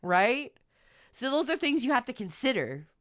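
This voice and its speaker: A woman talks casually to her livestream viewers. Her pitch moves around a lot as she makes her points emphatically and swears freely. The sound is close and dry, with little room in it. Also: very animated, moderate pace, a bit bright, no room sound. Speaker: VTuber streamer.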